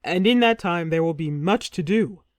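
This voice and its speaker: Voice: medium pitch, lively intonation, normal speed, dry recording, clean studio sound, natural voice. A narrator voicing a young warrior posing as a king, stiff and awkward with forced cheer. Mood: reassuring. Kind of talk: audiobook character voice.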